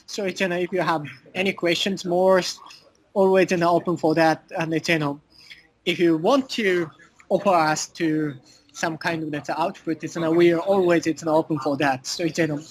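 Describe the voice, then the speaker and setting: A man with a Japanese accent answering as an interview guest, speaking halting non-native English full of filler phrases. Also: a little high, steady tone, rough recording. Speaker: interview guest, art collective communications director.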